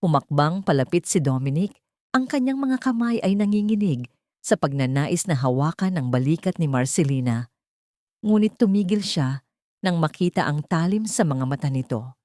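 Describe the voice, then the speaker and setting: A woman's voice: deep voice, animated, normal speed, darkish tone, no echo, studio quality. A woman storyteller reading a scripted dramatic audio story.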